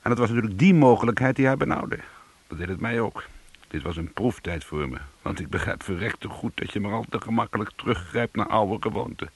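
An actor playing a weary, defensive but soothing ex-convict in a radio drama, with a husky voice. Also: male voice, on the low side, a little fast. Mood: reflective, matter-of-fact. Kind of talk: radio drama acting and first-person narration.

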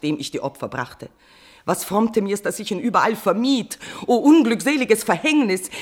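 An actress playing a guilt-tormented, passionate queen in a classical verse tragedy for radio, declaiming with shifts between hushed and forceful delivery. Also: medium pitch, natural voice. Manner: declamatory, swinging from whispers to outbursts.

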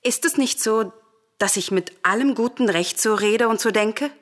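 An actress playing a proud, defiant, grieving Greek princess in a solemn radio drama, speaking in formal, declamatory verse. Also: female voice, fairly high pitch, normal speed, no echo, studio quality, lightly acted. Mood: defiant, resolute.

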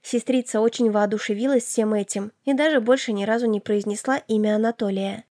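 A woman's voice: fairly high pitch, fairly even intonation, brisk, darkish tone, dry recording, clean studio sound. A woman reading first-person fiction as a scripted audiobook narration.

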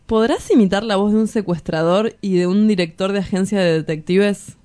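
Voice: medium pitch, moderate pace, warm tone, clear, resonant tone, lightly acted. An actor with an Argentine accent playing a cocky, romantic kidnapper in an audio drama, teasing and breezy. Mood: coaxing. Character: cocky young male kidnapper, sly romantic schemer. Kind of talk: dramatic dialogue acting.

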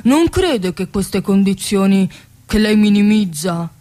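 A woman playing a grave, deliberate journalist asking a challenging question in a satirical radio play. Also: medium pitch, lively intonation, mellow.

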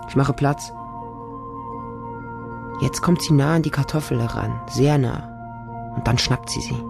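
A man's voice: mid-range voice, creaky. A man playing a small, wary homeless boy who narrates his hungry street life in short, simple sentences for a radio drama. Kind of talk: radio drama monologue in present tense.

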